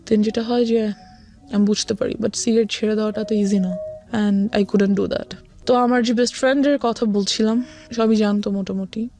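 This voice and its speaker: A woman's voice: mid-range voice, steady tone, moderate pace, dark tone. A young woman with a Bangladeshi accent telling personal life experiences as a guest, often slipping into English. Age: young adult. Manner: code-switches often between Bengali and English. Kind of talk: confessional personal storytelling, mixing in English. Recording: music under the voice. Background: background music.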